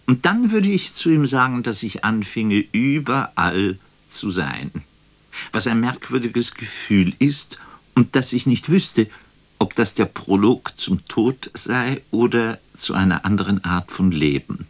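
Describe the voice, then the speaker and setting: An actor playing a wistful, musing poet in a radio play, with a theatrical and deliberate delivery. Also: male voice, lowish voice, animated. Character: wistful male poet imagining a last telephone conversation.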